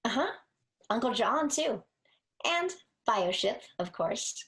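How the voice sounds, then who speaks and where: A woman's voice: fairly high pitch, big pitch swings, slow pace, a bit bright, exaggerated voice. A woman acting in a superhero audio drama.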